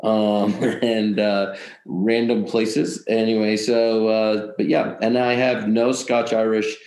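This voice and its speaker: A middle-aged pastor talks slowly on a podcast, pausing often and reaching for fillers. His voice comes through with a roomy echo. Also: lowish voice, flat delivery, darkish tone. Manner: halting, many fillers. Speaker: pastor and club chaplain. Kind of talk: casual podcast chat.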